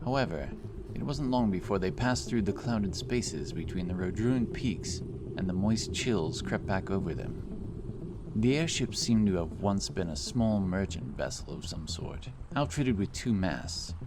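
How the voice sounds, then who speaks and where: A man's voice: low-pitched, lively intonation, measured pace, background noise. A man narrating a scripted fantasy story as an audiobook reader. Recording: clear music bed behind the voice. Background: background music.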